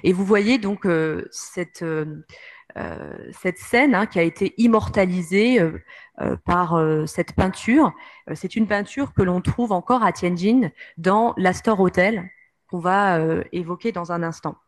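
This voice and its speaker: A woman's voice: on the low side, measured pace. A woman with a French accent giving a history lecture from slides, hesitating often with fillers. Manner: many hesitations, frequent "euh".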